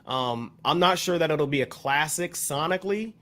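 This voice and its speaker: An American man talking as a podcast panelist, explaining his view on music in a casual, measured way. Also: a little high, a bit bright. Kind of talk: casual discussion, music commentary.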